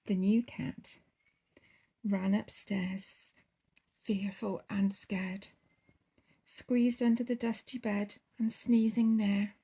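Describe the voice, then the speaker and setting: Slow, creaky-voiced woman reading a bedtime story. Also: mid-range voice, flat delivery, mellow. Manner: theatrical, drawn-out emphasis on key words. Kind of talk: expressive children's storybook reading.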